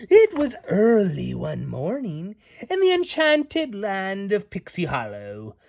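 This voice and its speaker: A man's voice: sits high, huge pitch swings, slow pace, creaky. A man reading a storybook aloud to children as a parent, playful and theatrical, with little asides. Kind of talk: animated storybook read-aloud.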